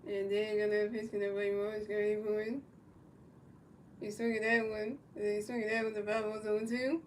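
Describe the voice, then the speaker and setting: A woman's voice: mid-range voice, level tone, fairly quick, a little mellow. A woman from the United States doing casual, repetitive play-by-play for a baseball video game, stumbling over her calls and talking to her chat viewers. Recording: distant mic with faint background noise.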